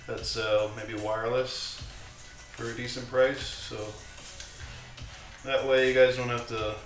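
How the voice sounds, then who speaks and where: A man with a low voice hosting a live build stream, thinking aloud as he works at his bench, with halting restarts. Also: little pitch movement. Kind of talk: casual live workshop commentary. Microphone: condenser mic set away from him. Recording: distant, roomy mic with music playing. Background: music from a streaming app.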